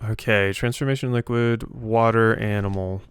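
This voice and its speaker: A man's voice: fairly low pitch, fairly even intonation, moderate pace, clean tone, no room sound. A man giving casual gameplay commentary, thinking aloud and questioning himself as he goes. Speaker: let's play host.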